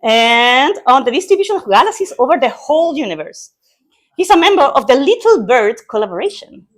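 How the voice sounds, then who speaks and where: A young Chilean woman hosts an informal event in accented English, her first language being Spanish. Her voice sits high and moves in lively swings as she chats her way through announcements and introductions. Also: a little fast. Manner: chatty, informal. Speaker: event host introducing a speaker.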